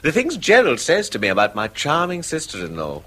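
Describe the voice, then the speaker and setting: An anxious, henpecked gentleman in a radio play. The voice is bright and husky, with huge pitch swings as he hesitantly smooths things over. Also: huge pitch swings, a little fast, full character voice. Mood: mild concern. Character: anxious, henpecked English brother-in-law, mild and placating. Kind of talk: British radio drama acting.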